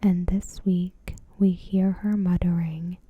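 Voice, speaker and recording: A woman doing ASMR commentary in a breathy, hushed whisper, measured and deliberate, close to the microphone. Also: lowish voice, little pitch movement, no echo, highs cut off. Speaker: ASMR creator reviewing a TV episode. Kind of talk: ASMR, soft scripted commentary. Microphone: close microphone in a dry space.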